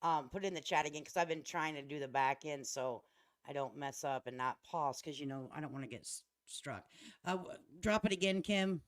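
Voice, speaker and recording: A woman's voice: low-pitched, brisk. A woman hosting a casual reaction livestream, commenting in a folksy, sarcastic, mocking way. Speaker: livestream host.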